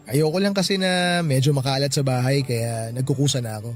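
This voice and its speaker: A man's voice: medium pitch, a little fast, close to an everyday voice. A man playing a friendly, easygoing young coworker in a Tagalog radio drama, with a Filipino accent. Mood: friendly, teasing. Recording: music bed behind the voice.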